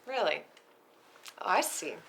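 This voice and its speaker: A woman's voice: medium pitch, very animated, measured pace, fairly bright tone, a little hiss or hum. A woman playing a taunting, unhinged young woman in a film script read, mocking, sing-song and goading.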